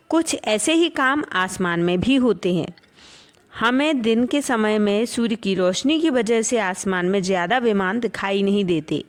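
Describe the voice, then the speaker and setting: Indian woman narrating an explainer. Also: fairly high pitch. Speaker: educational channel presenter.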